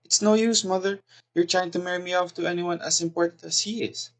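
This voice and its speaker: A man's voice: sits high, steady tone, a little fast. A man voicing a timid, reluctant young daughter in a plaintive way, in a stage play reading. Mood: reluctant, protesting.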